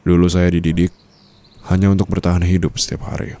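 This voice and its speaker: An actor with an Indonesian accent playing a calm, teasing and tender mafia boss in an intimate ASMR roleplay, speaking softly and slowly with pauses. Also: male voice. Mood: confiding, somber. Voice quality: very deep, creaky voice.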